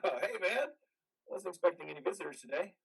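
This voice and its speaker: An actor playing a traumatized, bitter and weary combat veteran in a modern stage play reading, with a husky voice. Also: male voice, sits high, lively intonation, fast, fairly bright tone, full character voice. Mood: subdued.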